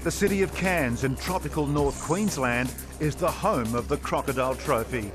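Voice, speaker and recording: A man's voice: mid-range voice, normal speed. A man giving upbeat, scripted voice-over commentary for a sports documentary. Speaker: race commentator. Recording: music bed under voice.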